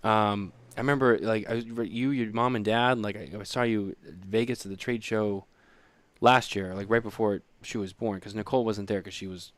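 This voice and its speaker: A man's voice: lowish voice, fairly even intonation, moderate pace, dry recording. A man in his thirties co-hosting a podcast, chatting casually in a rambling way full of fillers and repeats. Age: 30s. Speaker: podcast co-host. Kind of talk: casual chat.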